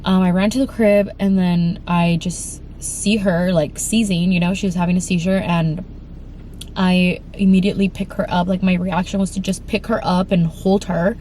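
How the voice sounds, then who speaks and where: A woman's voice: on the low side, level tone, moderate pace, mellow, a little hiss or hum, rough recording. Congested young woman telling a frightening story. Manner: rambling with many fillers and restarts. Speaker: vlogger. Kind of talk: emotional storytime vlog.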